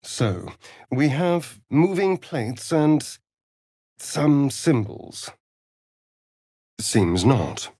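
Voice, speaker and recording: An actor playing a flamboyant, sardonic vampire rogue in a video game, with an arch, drawling, theatrical delivery. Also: male voice, medium pitch, big pitch swings, very slow, pauses often, warm tone, dry recording, clean studio sound, heavily acted. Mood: intrigued.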